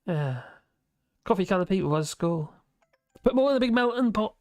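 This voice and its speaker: A man's voice: sits high, expressive, a little fast, a little mellow, no room sound, studio quality. A man, hosting a casual livestream, rambling and chuckling at his own asides from his home office. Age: 50s. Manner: chatty, chuckling, self-mocking asides. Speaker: livestream host reading chat.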